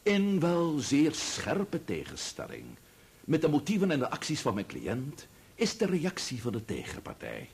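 An actor playing a formal, grave and indignant barrister in a radio drama, speaking in pompous, oratorical courtroom diction. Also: male voice, on the high side, huge pitch swings, measured pace, vocal fry, darkish tone, slightly rough, heavily acted.

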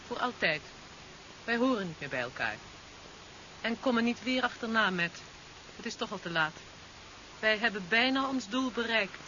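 A woman's voice: a little high, expressive, moderate pace, long pauses, pushed and bright. A woman playing a cold, resolute alien agent who still loves the man she opposes, controlled and ominous, in a 1970s radio drama. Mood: resolute, final. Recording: muffled, hissy 1970s radio recording.

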